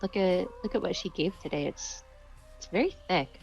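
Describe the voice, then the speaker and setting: A woman's voice: lowish voice, animated, moderate pace, gravelly, soft background music, low-quality recording. A woman playing a tabletop game, improvising casually as her character. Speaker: player. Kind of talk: improvised roleplay.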